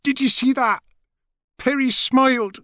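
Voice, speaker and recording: A man voicing a doting, excitable mother with an effusive manner in an audiobook. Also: extremely high pitch, unhurried, no room sound, voice pushed up. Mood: overjoyed.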